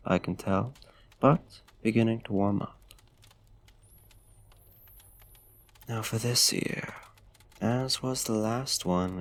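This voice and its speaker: A man voicing a courtly, smitten vampire in a soft-spoken ASMR roleplay, with formal, old-fashioned, genteel diction and a hushed, lingering delivery. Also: lowish voice, creaky. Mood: tender, admiring. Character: courtly, smitten male vampire tending a frostbitten guest. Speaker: ASMR voice actor.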